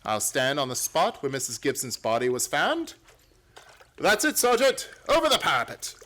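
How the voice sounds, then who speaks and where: An actor playing a brisk, self-assured gentleman detective in an old-time radio play, theatrical and precise in his formal explanations. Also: a little high, big pitch swings. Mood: brisk, commanding.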